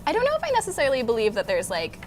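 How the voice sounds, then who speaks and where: A woman's voice: a little high, huge pitch swings, very fast. A woman co-hosting a craft show, chatting casually around a table indoors. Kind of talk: casual chat. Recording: noisy room with table sounds.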